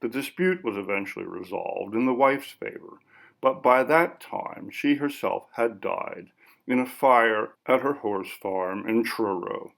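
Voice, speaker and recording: A man narrating literary fiction from a script in a measured, sober, clipped manner. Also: lowish voice, lively intonation, gravelly, fairly bright tone. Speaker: audiobook narrator.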